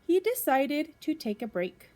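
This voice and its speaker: A woman reading a children's picture book aloud as a teacher does for pupils, pausing to put questions to young listeners. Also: sits high, very animated, mellow.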